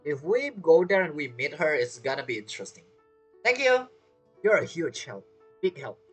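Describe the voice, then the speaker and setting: A woman comments casually over a game she is playing, tossing out offhand guesses. Her voice is low and husky, with lively swings in pitch, and faint game music plays beneath her. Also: normal speed. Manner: musing, offhand reactions and guesses. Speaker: gamer commenting on a playthrough. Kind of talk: casual let's-play commentary.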